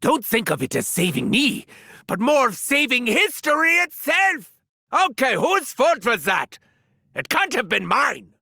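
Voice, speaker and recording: An unhinged German mad scientist from a video game, with a sharp, forceful voice that leaps wildly between muttering and shrieking. He is theatrical, pompous and gleefully cruel, his thick vanity carried in a German accent with a gravelly crackle at the edges. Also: very high-pitched, huge pitch swings, measured pace, dry recording, studio quality, full character voice. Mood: self-important. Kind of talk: scripted video game voice lines.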